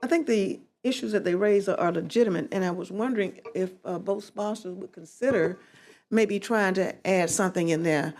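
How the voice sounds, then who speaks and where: A woman committee member raising a concern in a deliberate, halting way. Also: medium pitch, darkish tone. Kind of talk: committee discussion.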